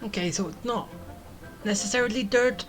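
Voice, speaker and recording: A woman's voice: mid-range voice, moderate pace. A woman giving casual commentary as she plays through a video game. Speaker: gaming streamer. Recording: game music behind the voice.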